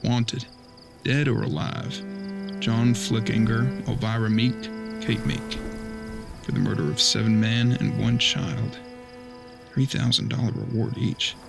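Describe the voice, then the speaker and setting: A man's voice: mid-range voice, steady tone, measured pace, creaky, music in the background. A man playing an earnest, thoughtful bounty hunter's apprentice in a western audio drama, with folksy frontier talk. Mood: reflective.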